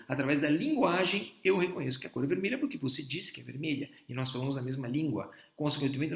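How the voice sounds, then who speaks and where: A man's voice: on the high side, vocal fry, fairly bright tone. Man lecturing in an echoey room. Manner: explanatory, with frequent restarts and rhetorical checks. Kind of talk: philosophy lecture for doctors.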